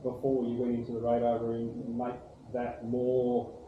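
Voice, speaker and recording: A man's voice: medium pitch, completely flat delivery, measured pace. A man leading a casual group debrief, putting questions to people in turn as host. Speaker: host, air traffic services staff. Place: echoey indoor room, group discussion. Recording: distant, phone-like, noisy echoey room.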